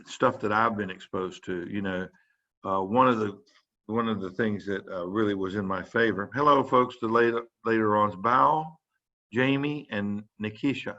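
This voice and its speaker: An older man talks through an informal lecture for students as a physician. His voice sits fairly low and he wanders through his points with many fillers and restarts. The audio is dull and muffled, like a video call. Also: normal speed, bright, forceful tone. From South Carolina.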